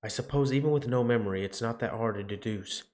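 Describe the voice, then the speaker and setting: A man's voice: medium pitch, fast talker, a little mellow. A man reading visual novel narration aloud dramatically as he plays. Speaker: game player reading aloud.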